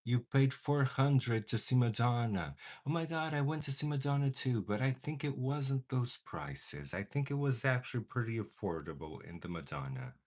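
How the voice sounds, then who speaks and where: A man's voice: medium pitch, sing-song, moderate pace, few pauses, darkish tone. A young man whose first language is Portuguese chats casually while doing his makeup, with dramatic bursts of mock outrage. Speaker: beauty vlogger.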